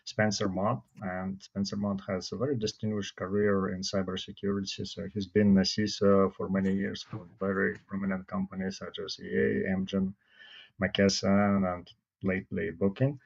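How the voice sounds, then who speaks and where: A man's voice: sits low, monotone, normal speed, gravelly, breathy edge. A man hosting an interview, introducing his guest formally and asking direct questions. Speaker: host. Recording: dull lo-fi sound.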